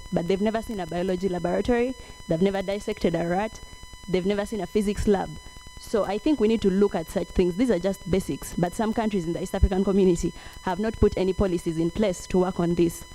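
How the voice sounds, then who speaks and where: A woman in her twenties from South Sudan speaks earnestly to a youth parliament. Her voice is mellow and warm, and her delivery is formal and measured. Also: mid-range voice, faint music behind, rough recording. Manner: earnest, formally addresses the house. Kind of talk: formal debate speech. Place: debate hall.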